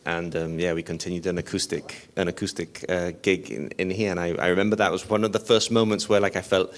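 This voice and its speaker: A man's voice: low-pitched, little pitch movement, quick pace. A man with a slightly whiny edge, chatting casually and self-deprecatingly as a musician being interviewed. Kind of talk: casual anecdotal interview talk.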